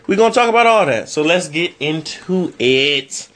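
A man's voice: a little high, lively intonation, normal speed, fairly bright tone. Teasing man hosting a podcast. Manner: teasing, pushes the talk along. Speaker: podcast host. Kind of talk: casual chat.

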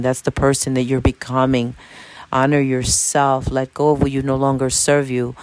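A woman of fifty-eight speaks with a New York accent and plenty of gravelly fry in her voice. She gives informal, motivational talk straight to the listener. Her delivery is emphatic, with short phrases repeated like mantras. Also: a bass voice, darkish tone, poor audio. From Dominican Republic, raised in Washington Heights, New York City. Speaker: spiritual self-help vlogger.

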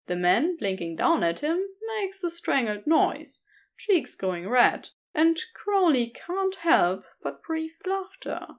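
A woman narrates fiction in a fairly high voice that rises and falls in lively swings. She takes her time, reading the scripted story with clear expression. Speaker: podfic reader.

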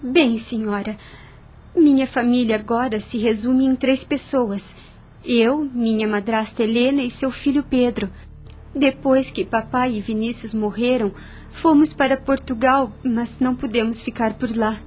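Radio drama: actress as young orphaned heiress, meek, deferential and earnest, high voice, careful formal delivery. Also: female voice, steady tone, unhurried, a little mellow, slight background noise, close to an everyday voice. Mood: respectful.

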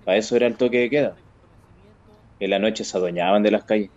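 A man's voice: on the low side, quick pace, a little mellow, natural voice. A man acting a haunted, kindly Chilean truck driver in a naturalistic radio drama, plain-spoken, on a muffled recording. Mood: haunted, sombre. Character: haunted, kindly working-class male truck driver, protective.

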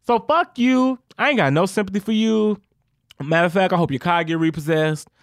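A woman co-hosting a podcast, chatting casually in a blunt, profane, animated way. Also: lowish voice, brisk, no room sound, studio quality. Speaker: podcast co-host. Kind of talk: casual chat.

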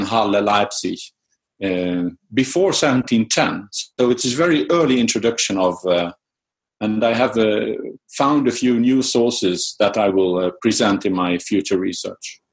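A middle-aged man whose first language is Dutch, answering interview questions about his research with many fillers and restarts. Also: on the low side. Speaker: music researcher, interview guest.

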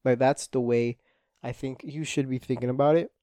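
A young man whose was Spanish talks through a solo podcast episode in a loose, rambling way. He swears a lot and leans on "like" as filler. His voice is smooth, mellow and dark, and it is recorded dry and close. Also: mid-range voice, fairly even intonation, moderate pace, no room sound. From Spanish-speaking home. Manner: rambling, profane, self-deprecating, frequent "like" fillers. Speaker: podcast host. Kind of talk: casual solo podcast monologue.

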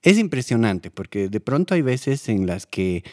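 A man's voice: mid-range voice, very animated, moderate pace, darkish tone, no echo, clean studio sound. A man in a casual podcast chat who jokes, laughs often and speaks playfully as a guest.

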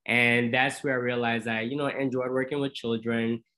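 A man in his thirties from Jamaica, a dentist answering interview questions in a measured, explanatory, conversational way. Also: medium pitch, flat delivery, pushed and bright. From Jamaica, moved to the US as a teenager. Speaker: podcast guest, dentist.

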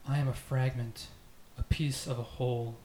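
A man's voice: mid-range voice, level tone, moderate pace, mellow. A man hosting a casual podcast, jokingly reading fan fiction aloud. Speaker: podcast host. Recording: echoey room with noticeable background noise.

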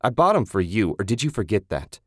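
An angry husband barking accusations at his wife, as a male narrator puts him on. His voice is low and his delivery curt and bitter. The recording is dry and close, with no room around it. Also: sing-song, fairly quick, fairly bright tone, no echo. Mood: accusing. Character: hot-tempered, accusing husband obsessed with his car.

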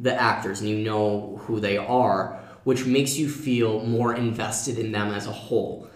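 A man's voice: fairly low pitch, fairly even intonation, unhurried. Man explaining, roomy echo. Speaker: podcast co-host. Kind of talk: casual panel discussion. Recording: echoey room.

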